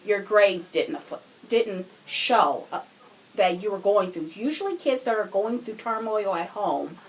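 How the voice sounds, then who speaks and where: A woman's voice: medium pitch, expressive, a little slow, poor audio. A woman co-hosting a podcast, giving her opinions in casual discussion. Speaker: podcast co-host.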